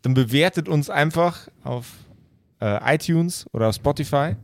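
A man's voice: a little high, moderate pace, no echo. A man narrating an improvised tabletop roleplay podcast as game master, playful and joking. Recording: music playing behind the voice. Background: faint music.

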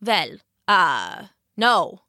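A woman's voice: fairly low pitch, big pitch swings, drawn-out words, sharp tone, no room sound, studio quality, voice pushed down, heavily acted. A woman voicing a greedy, smug male village mayor with a condescending, dismissive manner. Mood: sheepish.

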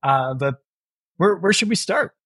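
A man's voice: a little high, expressive, a little slow, fairly bright tone, slightly rough, no echo, clean studio sound. A man hosting a tech show, upbeat and enthusiastic as he welcomes a guest. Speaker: host.

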